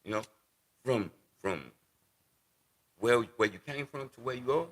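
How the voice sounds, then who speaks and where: A man's voice: medium pitch, lively intonation, measured pace, a bit bright, breathy edge. A man in his sixties, a guest in a casual interview, talking unhurriedly and repeating words as he thinks. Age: 60s.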